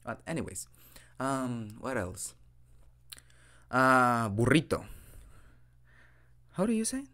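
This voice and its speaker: Slow, very animated young Mexican man. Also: mid-range voice. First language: Spanish. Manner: giggly, dorky, hesitant, self-deprecating. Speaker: audio roleplay creator playing a boyfriend teaching Spanish. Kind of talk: improvised ASMR roleplay, casual language lesson.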